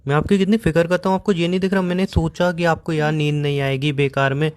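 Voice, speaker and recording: Fast-talking young man, defensive. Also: on the high side, steady tone. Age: young adult. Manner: defensive, making excuses. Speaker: boyfriend on a phone call. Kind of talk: casual relationship argument in call format.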